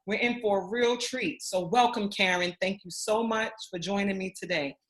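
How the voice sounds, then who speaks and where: A woman's voice: medium pitch, normal speed, fairly bright tone. A woman, a school principal, hosting an interview and reacting to her guest with enthusiastic, affirming interjections.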